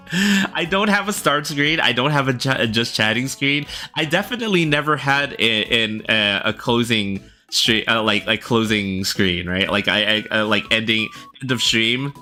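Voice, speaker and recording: Bright-voiced man chatting on a stream. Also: mid-range voice. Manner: rambling, self-correcting, chatty. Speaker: livestreaming artist. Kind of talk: casual livestream chat. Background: faint background music.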